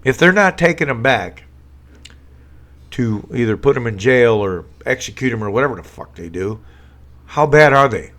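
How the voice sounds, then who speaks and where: A man's voice: medium pitch, lively intonation, moderate pace, a bit bright. A man hosting a casual live stream from home, talking politics in a sarcastic, rambling way with many fillers and restarts. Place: home room with a green screen.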